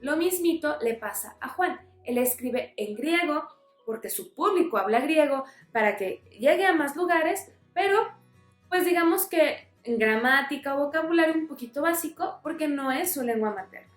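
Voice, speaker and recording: A woman's voice: high voice, expressive, moderate pace, reverberant, faint music behind. A woman teaching a Bible lesson in a friendly way, explaining with everyday examples. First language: Spanish.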